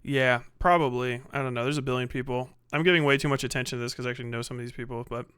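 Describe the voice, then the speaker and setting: Streamer, male, casual profane commentary, mumbles asides; smooth voice, dead-dry room. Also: mid-range voice, fairly even intonation, rapid-fire, fairly bright tone, no echo. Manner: profane, muttering to himself while working. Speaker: livestreamer.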